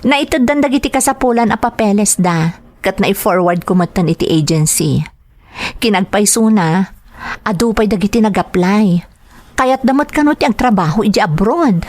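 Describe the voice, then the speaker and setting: An actress plays an assured, helpful agency woman in an Ilocano drama. Her voice is mid-pitched with an Ilocano accent, and she talks as someone offering a hand. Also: lively intonation, normal speed, a little mellow, no echo, close to an everyday voice. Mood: friendly. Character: helpful, assured woman handling overseas job applications. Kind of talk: Ilocano radio-style drama acting.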